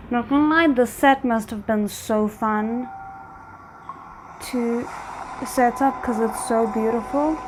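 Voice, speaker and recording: A woman's voice: on the high side, takes it slowly, long pauses, slight background noise, low-quality recording. A woman giving casual reaction commentary as a host, musing and thinking aloud. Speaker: host of a drama reaction channel.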